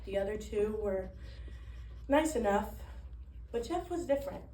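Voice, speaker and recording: A woman playing a wounded, plain-spoken young woman in a dramatic monologue, speaking in a subdued, deliberate, confiding way. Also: medium pitch, expressive, dark tone, lightly acted. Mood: subdued, pained. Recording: echoey room, distant microphone, faint noise.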